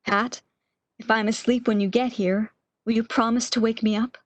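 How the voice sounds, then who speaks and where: A woman's voice: on the high side, flat delivery, moderate pace, no room sound, close to an everyday voice. A woman playing a frail, fearful, lovesick woman, pleading emotionally in an amateur radio drama. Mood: anxious, tender.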